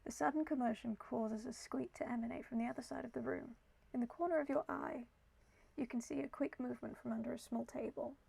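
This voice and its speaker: A woman with a slightly croaky voice, hosting a casual game playthrough with commentary and read-aloud text. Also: a little high, fairly even intonation, fairly quick, darkish tone, rough recording. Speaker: gaming video host. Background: background music.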